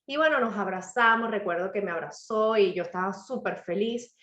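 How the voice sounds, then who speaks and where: Slightly hoarse woman in roomy echo. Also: medium pitch, moderate pace, a bit bright, muffled recording. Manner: reflective, personal storytelling with frequent "¿no?" and "¿ok?". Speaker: author and speaker. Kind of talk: live motivational talk.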